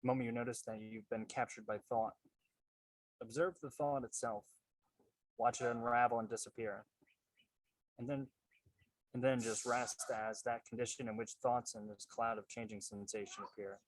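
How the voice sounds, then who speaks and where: A man leading a guided meditation as coach and host, calm and measured, with a husky voice and unhurried pauses. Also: mid-range voice. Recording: roomy, distant-sounding microphone.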